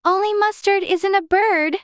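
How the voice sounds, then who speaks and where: A woman's voice: extremely high pitch, steady tone, unhurried, dry recording, clean studio sound, voice pushed up. A woman voicing a polite, curious little girl in a dramatized storybook audiobook, with an earnest, childlike manner. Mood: thoughtful.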